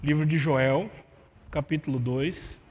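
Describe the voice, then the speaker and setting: A man's voice: a little high, fairly even intonation, slow pace, a little mellow. A man preaching a sermon in a conversational way, with rhetorical asides to the congregation, in a voice that tires easily. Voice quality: vocal cord problem, voice tires easily. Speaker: pastor. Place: church sanctuary. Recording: live church stream with long reverb.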